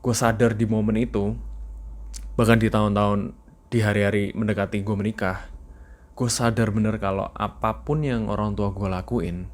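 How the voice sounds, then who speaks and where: A man's voice: on the low side, normal speed. Chatty young man with East Javanese accent. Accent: East Javanese Indonesian. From East Java. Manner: relaxed, chatty, mixes English phrases, laughs often. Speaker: vlogger. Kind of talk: casual video journal.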